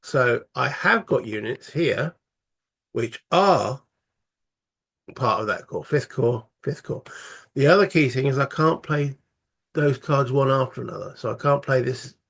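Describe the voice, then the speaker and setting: Croaky man thinking aloud. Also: mid-range voice, measured pace, a bit bright. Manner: thinking aloud, hesitant, many fillers. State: croaky from a cold. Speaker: solo board game player. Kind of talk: casual tabletop playthrough commentary.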